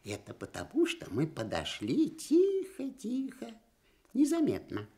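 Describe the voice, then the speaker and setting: Excitable little forest gnome, swooping intonation. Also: male voice, sits high, unhurried, exaggerated voice. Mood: excited delight. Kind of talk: Soviet children's radio play acting.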